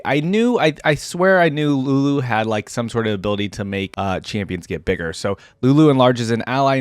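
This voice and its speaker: Man, reaction video host, medium pitch, casual commentary, reading aloud, dry sound. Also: dry recording.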